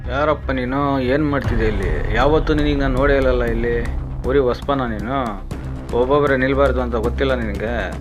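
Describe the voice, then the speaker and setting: A man's voice: mid-range voice, a little fast, music in the background, natural voice. A man voicing a suspicious, stern cartoon man who bosses and interrogates a stranger. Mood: stern, threatening. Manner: bossy, interrogating. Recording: tinny phone-like sound with faint noise.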